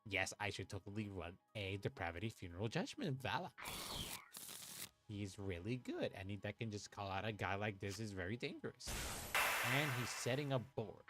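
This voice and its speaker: A young man from Puerto Rico, chatting casually on a livestream while he plays a card game, thinking aloud, swearing and muttering to himself. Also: fairly low pitch. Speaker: streamer playing an online card game. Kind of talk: casual livestream chat and play commentary.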